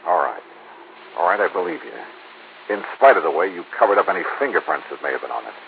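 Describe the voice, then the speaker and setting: Male, American, tough radio detective; low, gravelly, clipped and probing; tinny vintage broadcast. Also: lively intonation, fairly quick. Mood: firm, grim. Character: hard-boiled, shrewd male insurance investigator. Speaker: lead actor in a detective serial. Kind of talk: 1950s American radio drama acting. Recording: tinny, hissy old radio recording.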